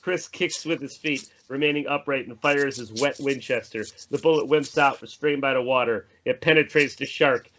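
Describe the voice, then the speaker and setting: A man's voice: medium pitch, normal speed, hardly any pauses, a bit bright. A man reading out the stage directions of a horror screenplay as narrator at a table read. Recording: slightly dull mic, faint noise.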